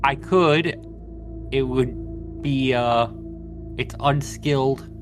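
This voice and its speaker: A man's voice: medium pitch, very slow, soft background music. A man talking casually as a player in a tabletop role-playing game, working out his character's moves aloud.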